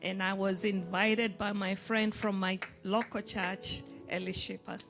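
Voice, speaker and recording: A woman of medium pitch gives a short testimony-style introduction as a church guest. Soft guitar music plays behind her. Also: flat delivery, unhurried.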